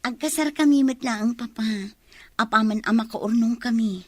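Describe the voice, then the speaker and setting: A woman's voice: on the high side, normal speed, no room sound, natural voice. A woman playing an earnest young daughter who pleads with her family, in audio drama dialogue. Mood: persuading.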